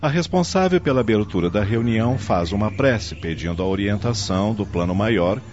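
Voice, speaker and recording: A man's voice: on the low side, animated, normal speed, a little mellow. A man narrating a radio soap opera in a dramatic scripted style. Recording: dull lo-fi audio with music.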